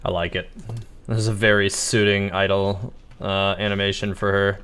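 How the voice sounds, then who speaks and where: A man livestreaming a video game with casual, rambling, halting commentary. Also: on the low side, flat delivery, a bit bright. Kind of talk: casual live gameplay commentary.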